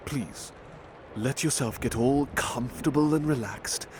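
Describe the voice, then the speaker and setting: Male butler-narrator for ASMR reading, soft and unhurried, steady traffic noise behind. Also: mid-range voice. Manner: soft, unhurried, calls the listener beloved.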